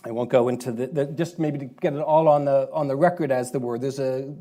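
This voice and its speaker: A man on a discussion panel, giving a measured briefing that is full of pauses and "uh" fillers. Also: medium pitch, fairly even intonation, dark tone. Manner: measured, halting with many fillers. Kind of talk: panel talk.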